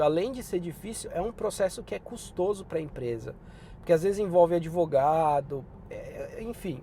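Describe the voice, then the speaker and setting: A Brazilian man with a Brazilian Portuguese accent giving job-hunting tips in a relaxed, chatty advice vlog. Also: sits high, slight background noise. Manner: relaxed and chatty, often drops in "cara" and "né".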